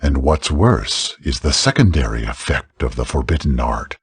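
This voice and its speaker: A man's voice: very deep voice, very animated, moderate pace, breathy edge, exaggerated voice. A man voicing a grave, wise old lord in a fantasy game, speaking in a solemn, formal manner.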